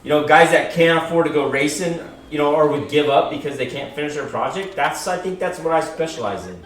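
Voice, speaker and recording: A man in his forties hosting a casual round-table chat in a car shop, upbeat and quick to laugh. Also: on the high side. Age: 40s. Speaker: shop owner and stream host. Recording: roomy room, mic far off, faint ambience.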